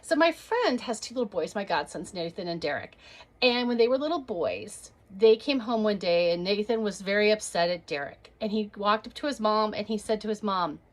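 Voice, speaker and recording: A woman's voice: medium pitch, expressive, moderate pace. A woman performing a stand-up comedy set to a webcam, telling stories and acting out the people in them. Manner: storytelling, acts out quoted voices.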